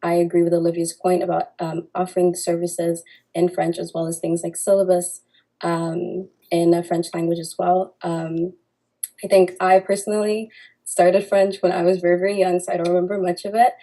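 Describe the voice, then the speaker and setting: A woman's voice: on the low side, little pitch movement, moderate pace, mellow, poor audio. A young woman answering as a candidate in a student debate, hesitant and full of fillers. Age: young adult.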